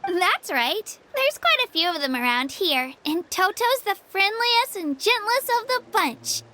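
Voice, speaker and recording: A woman's voice: very high voice, very animated, unhurried, noisy background, full character voice. A woman voicing a small, friendly young girl in a video game, chirpy, affectionate and earnest. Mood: friendly explaining. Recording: game music behind the voice.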